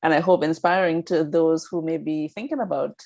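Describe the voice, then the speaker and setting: Low, gravelly-voiced woman moderator. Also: fairly quick, a little mellow. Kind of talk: hosting a panel discussion.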